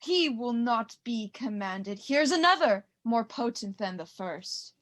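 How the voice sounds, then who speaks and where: A young woman playing an ominous witch in a Shakespearean radio play, speaking in a deliberate, chanting manner. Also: a little high, lively intonation, fairly bright tone. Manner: incantatory, deliberate. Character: eerie, ominous witch chanting over cauldron. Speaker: student performer.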